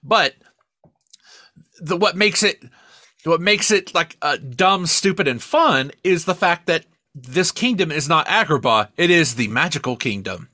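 Male podcast co-host, bright forceful voice, very lively delivery, emphatic and sweary, dry close sound. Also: on the high side, measured pace, no echo. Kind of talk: casual chat reviewing media.

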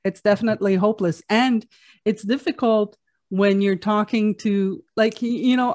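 A woman in her fifties hosting a relaxed podcast conversation. She talks openly about her own life, restarting sentences often and breaking into easy laughs. Her pitch sits in the middle of the range. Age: 50s. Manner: chatty and self-revealing, with frequent restarts and laughs. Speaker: podcast host. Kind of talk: casual interview chat.

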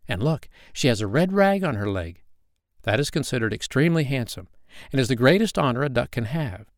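A fussy, worn-out mother duck, as a man puts her on for a storybook, fretful and motherly. The voice climbs high and swings widely. It is close and dry, with no room sound. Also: expressive, fairly quick, dry recording, lightly acted. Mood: proud.

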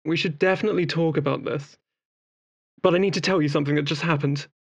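A woman playing an excitable, friendly colleague in an audio drama, heard through a comms filter. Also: deep voice, fast talker. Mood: excited, urgent. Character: excitable, friendly clinic colleague bursting with news. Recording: dull, band-limited comms sound.

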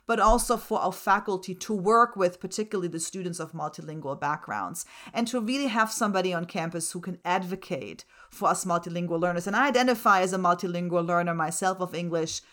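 A woman's voice: lowish voice, a little fast, hardly any pauses, a bit bright. A middle-aged woman from Germany, a native speaker of German and Slovak, explaining things in an articulate, earnest way as an interview guest. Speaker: interview guest, writing center director and associate professor.

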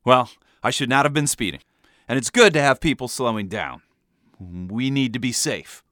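A man's voice: medium pitch, normal speed, dry recording, studio quality, natural voice. A man voicing an exasperated, easily angered father in a comic family story. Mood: placating. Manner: forceful yelling and weary muttering. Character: exasperated, furious father, easily pushed to yelling.

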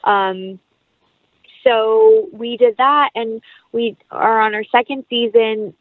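A woman's voice: fairly high pitch, expressive, slow, dry recording. A woman giving a casual interview as a guest, chatty and rambling, with a self-deprecating streak. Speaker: actress, producer and interview guest. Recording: dull, lo-fi, band-limited audio.

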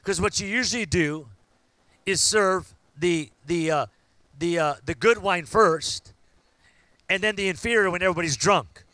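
A man, preaching a sermon and building to loud, fervent call-outs to the congregation. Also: high voice, normal speed, no echo. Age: 50s. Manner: preaching with rising shouts and call-outs to the crowd. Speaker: pastor.

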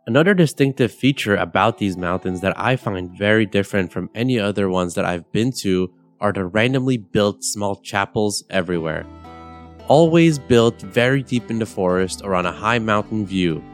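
A young man from Bulgaria hosting a podcast, guiding a meditation in a calm, measured, soothing way. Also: on the low side. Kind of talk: guided meditation narration. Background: soft guitar music.

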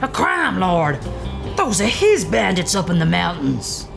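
An actress playing an indignant, folksy train driver in a sci-fi audio drama, scolding, with a gravelly, creaky voice. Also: female voice, on the low side, big pitch swings, unhurried, over music, full character voice.

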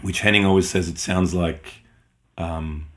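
A man's voice: deep voice, steady tone, measured pace, a bit bright. A middle-aged man who grew up in Austria with German as his first language, co-hosting a podcast in casual, rambling chat full of ums and restarts. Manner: rambling, many ums and self-corrections. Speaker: podcast co-host.

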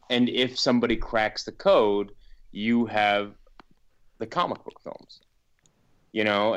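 A man's voice: on the low side, clean tone, lo-fi sound. A man in a casual podcast discussion as a guest filmmaker, rambling with lots of "like" and speaking in an opinionated, emphatic, casually sweary way. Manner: rambling, hedging with frequent "like".

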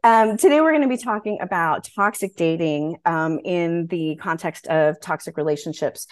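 A woman's voice: on the low side, moderate pace. A woman in her forties hosting a podcast in a casual, candid, emphatic and informal way. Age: 40s. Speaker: podcast host. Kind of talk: casual chat.

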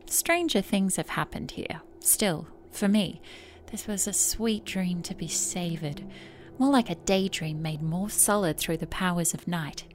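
A woman narrating a short story in a soft voice that turns breathy in places. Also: fairly low pitch, a little slow, slight background noise. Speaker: story reader.

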